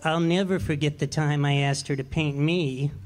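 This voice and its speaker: An actor playing a meek, henpecked middle-aged husband in a live radio play, speaking softly and hesitantly. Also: male voice, fairly high pitch, fairly even intonation, normal speed, natural voice. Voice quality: soft, mild and hesitant. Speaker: amateur actor.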